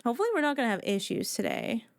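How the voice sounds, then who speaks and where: A woman's voice: a little high, sing-song, rapid-fire, gravelly. A woman game livestreamer chatting informally and casually with her viewers.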